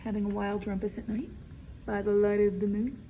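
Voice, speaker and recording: A woman reading a picture book aloud to young listeners, theatrically, with dramatic hushes and drawn-out words. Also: medium pitch, flat delivery, a little hiss or hum, poor audio. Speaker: children's read-aloud storyteller.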